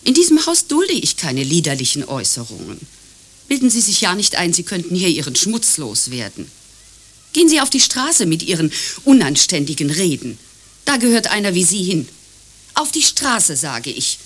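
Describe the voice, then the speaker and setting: An actress playing an overbearing, prim hostess who swings from cooing to indignant scolding in a satirical radio play. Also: female voice, lowish voice, huge pitch swings, moderate pace. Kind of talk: German radio play acting, satirical grotesque.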